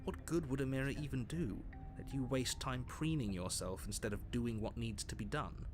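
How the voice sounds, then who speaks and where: An actor voicing a stern, calm male narrator who guides the hero through a dark tale. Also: mid-range voice, natural voice. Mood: ominous. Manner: calm, measured, ominous narration. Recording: game audio with clear music.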